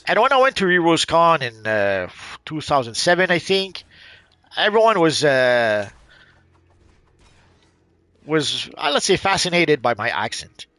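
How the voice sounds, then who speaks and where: A man's voice: a little high, big pitch swings, unhurried, long pauses, sharp tone, no echo. A middle-aged man from Quebec with a mixed Quebec accent, chatting in a hesitant, rambling way while playing a game. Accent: Quebec French mixed. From Quebec, Canada. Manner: rambling, hesitant, many fillers and restarts. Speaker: gaming channel host. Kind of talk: casual commentary while playing.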